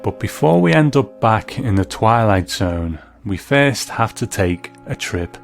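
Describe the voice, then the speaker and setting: A man's voice: fairly low pitch, expressive, unhurried, creaky, faint music behind. A man hosting and narrating a scripted documentary piece in an explanatory way. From Britain. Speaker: podcast host.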